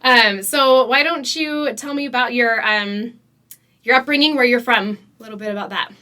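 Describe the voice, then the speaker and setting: A woman's voice: a little high, normal speed, sharp tone. A woman in her forties hosting a faith podcast, chatting in a friendly, encouraging way with many small fillers. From United States. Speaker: podcast host. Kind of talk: casual interview chat.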